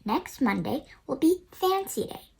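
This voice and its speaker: Young girl playing a high-voiced storybook schoolteacher. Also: big pitch swings, a little slow, gravelly, darkish tone, heavily acted, poor audio. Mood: encouraging. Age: child, about nine. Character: kindly, encouraging woman schoolteacher in a storybook.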